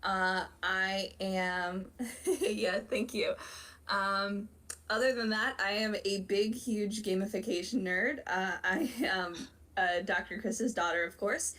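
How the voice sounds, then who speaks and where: A woman's voice: medium pitch, slow pace, fairly bright tone. Young woman, eager friendly intro, sounds far from the mic. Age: young adult. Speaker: recent graduate co-presenter. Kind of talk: self-introduction. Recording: distant microphone.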